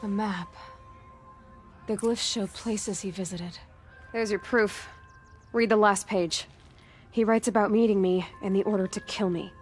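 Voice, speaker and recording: A woman playing a determined, self-reliant young hunter in a video game, measured and matter-of-fact. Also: mid-range voice, steady tone, soft background music, natural voice. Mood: serious, certain. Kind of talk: video game dramatic acting.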